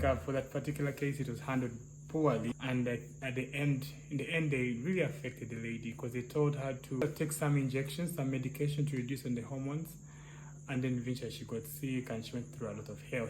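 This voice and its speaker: A man with a soft, slightly high voice, thinking aloud in casual discussion with many restarts and "yeah?" tags. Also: steady tone, brisk. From Uganda. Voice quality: soft, somewhat high-pitched voice. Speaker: clinical psychologist, co-host. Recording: noisy, crickets and hum.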